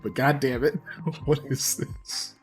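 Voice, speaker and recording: Man, casual stream host, swearing, laughing, self-mocking, soft music behind. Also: medium pitch, takes it slowly. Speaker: podcast co-host reading chat. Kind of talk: casual livestream chat.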